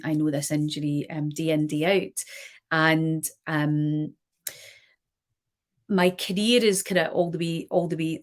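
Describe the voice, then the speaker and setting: A woman in her forties, a podiatrist, chatting to camera in a personal video diary with many fillers and restarts. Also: low voice. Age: 40s. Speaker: podiatrist and clinic director.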